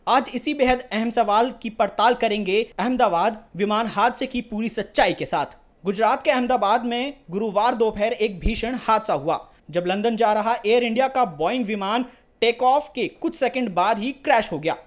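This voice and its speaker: A woman news presenter delivering scripted news narration. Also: a little high.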